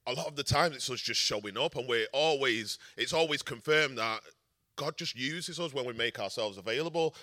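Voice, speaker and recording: A man from a touring gospel band, with a croaky voice, telling a rambling casual story to a church audience. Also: medium pitch, a bit bright. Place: church event hall.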